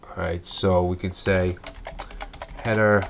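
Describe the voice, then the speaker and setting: A man with a stuffed-up voice from a cold, calmly explaining web code step by step in a screencast tutorial. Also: low-pitched, slow, gravelly, slight background noise. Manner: methodical, thinking aloud with fillers. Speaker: coding tutorial instructor.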